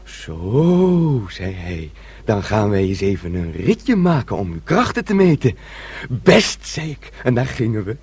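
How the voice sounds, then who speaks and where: An actor in a radio drama playing a naive, excitable young man, boyish, dreamy and eager to please, with a husky voice. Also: lowish voice, measured pace, dry recording, heavily acted. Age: young adult.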